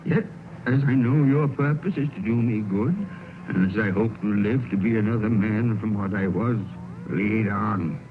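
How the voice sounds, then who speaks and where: An actor playing a terrified, repentant old miser in an old radio play, with a quavering, pleading delivery. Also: male voice, fairly low pitch, normal speed, background noise, close to an everyday voice. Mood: awed. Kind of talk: 1930s radio drama acting. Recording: tinny old radio broadcast with music.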